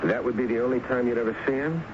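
A man acts out a male homicide lieutenant in an old radio play. He asks his questions casually but persistently, pausing as he goes. The recording is dull and hissy. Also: mid-range voice, lightly acted. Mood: probing, polite. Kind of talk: 1970s radio drama acting. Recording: muffled, noisy 1970s radio broadcast.

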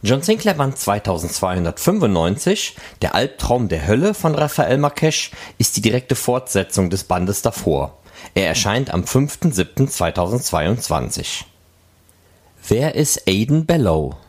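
Low-voiced man with very lively intonation. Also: a little slow, slightly rough. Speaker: podcast host reading fan news. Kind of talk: scripted news announcements.

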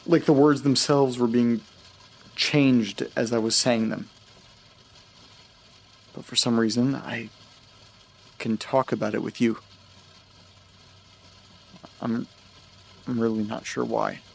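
An actor playing an anxious, bewildered man in an audio drama, speaking hesitantly and stammering over car engine rumble. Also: fairly even intonation, slow pace, gravelly, long pauses. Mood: earnest, troubled. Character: anxious, bewildered man recounting strange memory loss. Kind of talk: naturalistic audio drama acting.

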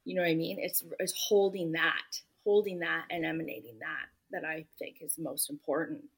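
A woman's voice: sits low, steady tone, normal speed, breathy edge. A woman author being interviewed, speaking earnestly and emphatically, often saying "you know". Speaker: guest author. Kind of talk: podcast interview answers.

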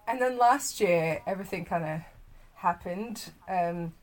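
A woman's voice: on the low side, a little slow. A young woman chatting as a podcast guest. She is bubbly, laughs often and rambles with plenty of fillers. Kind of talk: casual interview chat.

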